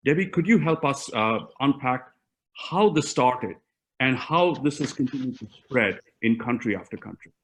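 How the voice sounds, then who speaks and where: Man, academic moderator, formal register, halting delivery, many filled pauses, deliberate. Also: mid-range voice, expressive. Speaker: panel moderator, academic host. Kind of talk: moderated academic panel introduction.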